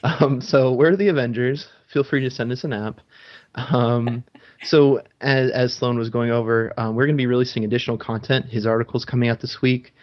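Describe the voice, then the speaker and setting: A man hosting a gaming podcast talks in a loose, chatty way, filling pauses with ums and restarting his sentences. His voice sits at an everyday middle pitch. The recording sounds dull and lo-fi. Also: steady tone. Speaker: podcast host. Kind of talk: casual gaming podcast chat.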